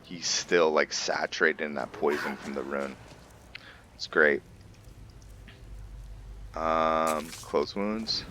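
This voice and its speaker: A man giving casual commentary on his game playthrough, thinking aloud in a halting way. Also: very deep voice, noisy background. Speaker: gaming video host.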